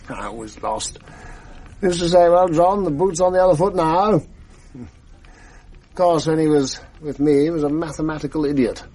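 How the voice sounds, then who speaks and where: An actor playing a genial, chatty retired schoolmaster, older, in a 1980s radio drama. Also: male voice, a little high, normal speed, pauses often, dark tone, close to an everyday voice. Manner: genial, chatty, old-fashioned.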